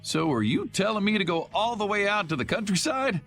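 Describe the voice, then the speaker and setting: A proud, grumbling guild leader in an anime game. His voice is gravelly and swings in pitch, over faint music. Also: very animated, brisk, fairly bright tone, exaggerated voice. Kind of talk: English dub of anime role-playing game.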